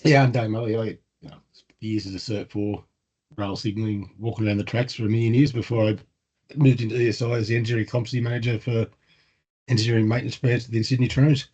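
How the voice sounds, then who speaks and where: A hoarse man introducing himself at a meeting, brisk and self-deprecating. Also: on the low side, fairly even intonation, a little mellow, muffled recording. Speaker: engineering competency manager.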